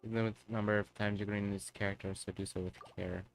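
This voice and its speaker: A man's voice: fairly low pitch, level tone, quick pace, creaky, dry recording. A man livestreaming a game, chatting casually and thinking aloud, with some swearing. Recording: dry close sound, faint music.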